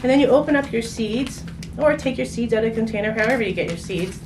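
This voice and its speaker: A woman's voice: fairly high pitch, lively intonation, fast. A woman casually hosting a children's craft demonstration, playful and chatty, often thinking aloud. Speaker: children's story reader and craft host. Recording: distant mic, clearly noisy room.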